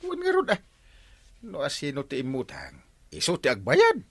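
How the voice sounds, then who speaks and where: Wildly swooping, husky Ilocano village captain. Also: male voice, a little high, wildly swooping pitch, normal speed, fairly bright tone, dry recording, exaggerated voice. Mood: exasperated. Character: excitable, exasperated comic village captain. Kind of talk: Ilocano radio comedy drama acting.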